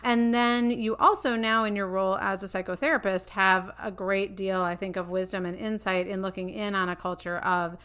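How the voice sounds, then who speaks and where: Bright, smooth-voiced woman podcast host. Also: medium pitch, flat delivery, moderate pace, few pauses. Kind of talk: conversational interview.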